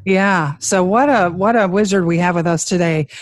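A woman's voice: on the low side, moderate pace, no room sound. Upbeat woman podcast co-host, dry close mic. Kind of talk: casual interview chat.

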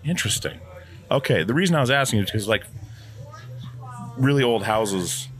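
A man hosting a podcast from his basement, talking in a relaxed, rambling way with lots of fillers. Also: mid-range voice, normal speed, soft background music. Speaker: podcast host. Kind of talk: casual interview chat. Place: old house basement.

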